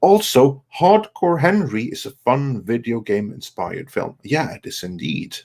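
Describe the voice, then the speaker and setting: A man co-hosts a live panel stream, reading messages and adding his opinions. His pitch is average for a man. He speaks haltingly, stretching words between hesitations. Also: big pitch swings, darkish tone. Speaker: co-host reading viewer messages.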